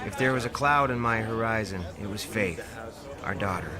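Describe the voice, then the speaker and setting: A man's voice: lowish voice, normal speed, a loud crowd, natural voice. An actor playing a zealous, self-assured born-again American businessman and father in an audio drama, with a folksy, preacherly manner. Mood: reflective, confiding. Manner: folksy, preacherly, rhetorical questions. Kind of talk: audio drama acting, preaching speech and confessional narration. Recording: music playing behind the voice.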